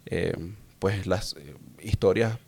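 A man's voice: deep voice, slow, vocal fry, darkish tone, slight background noise. A young man with a Venezuelan accent giving casual personal testimony as a guest, meandering and often repeating words as he searches for them. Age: young adult. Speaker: interview guest.

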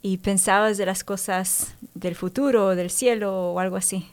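A woman's voice: medium pitch, steady tone, normal speed, smooth. Woman hosting a faith radio interview. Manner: encouraging, frequent affirming interjections. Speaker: radio show host.

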